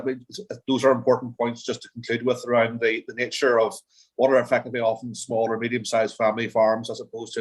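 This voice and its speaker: A man's voice: mid-range voice, poor audio. A man on a committee summing up points at a hearing, hesitating with many "um"s. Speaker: committee member.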